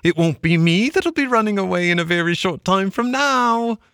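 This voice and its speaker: A man voicing an excitable, boastful male mole who mimics a fussy old washerwoman in a high, sing-song storybook voice. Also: moderate pace, smooth, dry recording, clean studio sound. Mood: gleeful. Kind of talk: audiobook reading.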